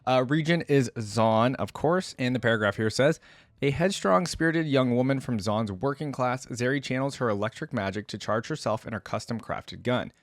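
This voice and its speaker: A man's voice: mid-range voice, dry recording. Casual male reaction host, dry close sound. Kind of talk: casual reaction commentary, reading lore aloud.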